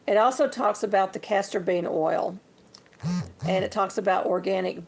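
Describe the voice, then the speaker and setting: An older woman hosting a casual livestream, slightly hoarse and chatty, talking to her viewers as y'all. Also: mid-range voice, moderate pace. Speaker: livestream host. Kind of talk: casual livestream show-and-tell.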